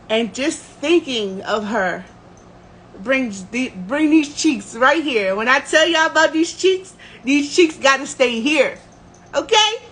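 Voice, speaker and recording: Woman, slightly high pitch, forceful bright tone, emotional candid storyteller, livestream, light noise behind. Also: low-quality recording. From United States. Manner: candid, emotional, emphatic, swearing. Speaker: livestream host. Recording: clear background noise.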